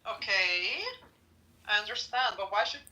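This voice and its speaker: A woman's voice: mid-range voice, unhurried, pushed and bright. A woman with an Arabic accent chatting playfully in a live online voice chat. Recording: roomy echo, distant from mic.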